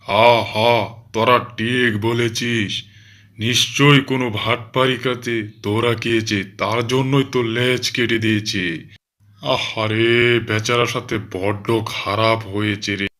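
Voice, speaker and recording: A man voicing a gruff tiger uncle who jeers at a fox, with a deep voice. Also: little pitch movement, unhurried, pushed and bright. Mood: mocking. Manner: jeering.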